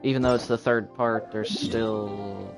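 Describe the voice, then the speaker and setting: A man's voice: fairly low pitch, fairly even intonation, measured pace, creaky. A man streaming a video game live, thinking aloud in offhand, casual commentary. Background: game music.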